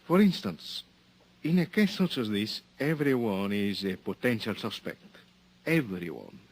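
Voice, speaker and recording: An actor playing a shrewd, polite Mexican police lieutenant, speaking in a formal, measured, quietly menacing way in a 1950s radio drama. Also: male voice, on the low side, big pitch swings, heavily acted. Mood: coolly suspicious.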